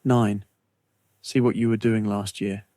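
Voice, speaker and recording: A man narrating a scripted guided meditation in a slow, measured, soothing way with long pauses. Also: lowish voice, flat delivery, mellow, no echo.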